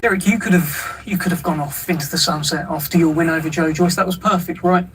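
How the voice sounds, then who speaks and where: A man's voice: a little high, quick pace, fairly bright tone. A man interviewing boxers, asking probing, direct questions. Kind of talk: sports interview. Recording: distant mic with faint noise.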